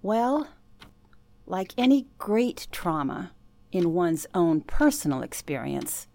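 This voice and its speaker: Female actor as elderly interviewee in an audio drama; lowish voice, unhurried delivery, reserved, hesitant, haunted by memory. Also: very animated, creaky, darkish tone, heavily acted. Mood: reflective, hesitant. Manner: hesitant, reflective. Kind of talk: radio play acting, interview.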